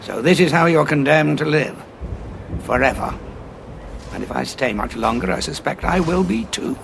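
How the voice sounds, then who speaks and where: An actor playing a weary male time traveller in an audio drama, probing and dry-witted, with a gravelly voice. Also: fairly high pitch, animated, normal speed, slight background noise. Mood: resolute.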